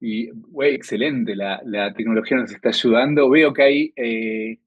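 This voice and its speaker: A middle-aged Argentine man gives an online medical lecture, with a husky, slightly breathy voice. He speaks in a measured way and drops many hesitant fillers. The sound is dry and close, as on a video call. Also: mid-range voice, no echo, highs cut off. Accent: Argentine Spanish. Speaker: guest lecturer, intensive care physician.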